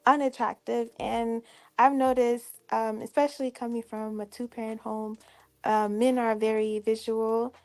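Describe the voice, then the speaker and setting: A woman's voice: fairly high pitch, level tone, a little mellow, no room sound. A woman in her twenties from Detroit, whose first language was Algonquian, calling in and talking hesitantly and apologetically. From Detroit, United States. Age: 20s. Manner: hesitant, apologetic, many pauses. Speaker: caller. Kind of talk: call-in chat.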